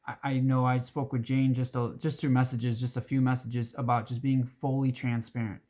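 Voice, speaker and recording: A hoarse man speaking earnestly to his group as its organizer, with frequent restarts and throat-clearing. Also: medium pitch, level tone, moderate pace, a little mellow. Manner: earnest, rambling with restarts and fillers. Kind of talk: casual direct-to-camera talk.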